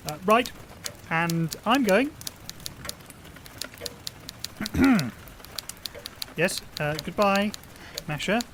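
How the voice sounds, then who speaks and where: A meek, slow-talking young husband. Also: male voice, sits high, animated, fairly bright tone, slight background noise, exaggerated voice, poor audio. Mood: awkward, flustered. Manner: hesitant, meek, awkward. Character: timid, pleading, dull young husband and father. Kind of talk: naturalistic audio drama acting.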